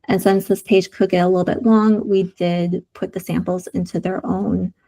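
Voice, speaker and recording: Woman with a sore, gravelly voice. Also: fairly low pitch, little pitch movement, normal speed, dark tone. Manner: explanatory, many "um" and "uh" fillers. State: sore throat, losing her voice. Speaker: UX designer presenting prototypes. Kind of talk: community meeting screen-share walkthrough.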